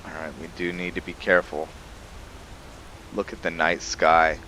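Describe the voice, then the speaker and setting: Deep-voiced man doing casual game commentary. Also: flat delivery, moderate pace, bright, forceful tone, a little hiss or hum, poor audio. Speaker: gaming video host.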